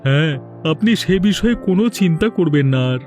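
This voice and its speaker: A corrupt police officer in a cartoon drama. He speaks in a high male voice, fawning and hemming as he defers to his betters. Music plays faintly behind. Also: animated, moderate pace, a little mellow. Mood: greedy, fawning. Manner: obsequious, hemming.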